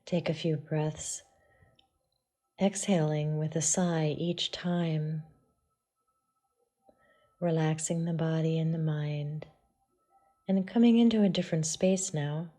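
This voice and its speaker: Slow, low, soothing woman guiding meditation. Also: fairly even intonation, mellow. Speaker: yoga and meditation teacher. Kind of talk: guided meditation.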